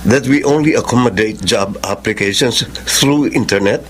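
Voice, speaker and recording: A man's voice: lowish voice, moderate pace, fairly bright tone, breathy edge. A man playing a formal, approving company boss in a Filipino radio drama, with a Filipino accent and switching between English and Tagalog. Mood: formal, encouraging.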